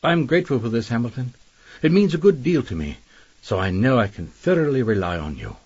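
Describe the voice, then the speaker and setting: A scheming gentleman employer with a husky edge and very animated intonation. He is wordy, genteel and quietly commanding. The radio drama recording sounds slightly dull. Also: medium pitch, brisk, dry recording. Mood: suave, businesslike. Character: suave scheming male employer, widowed, politely commanding.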